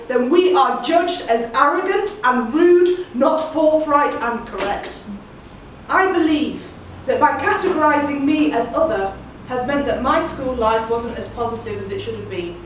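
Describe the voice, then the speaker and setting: A woman delivers a measured, earnest spoken-word monologue in a fairly high voice. She sounds distant, in a very echoey room. A faint ambient hum sits underneath. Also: lively intonation. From England.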